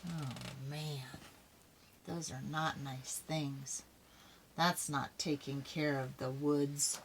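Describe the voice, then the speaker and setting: A woman's voice: low voice, fairly even intonation, slow pace, slight background noise. An older woman reading a children's story aloud expressively, adding chatty asides to her young listeners. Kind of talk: animated read-aloud storytelling.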